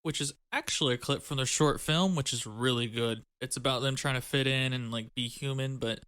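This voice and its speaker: A man with a bright, crisp-edged tone chats as a podcast co-host. His delivery is informal, wry and full of joking asides. He is recorded dry, with no room sound. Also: mid-range voice, a little fast, no room sound. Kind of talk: casual banter.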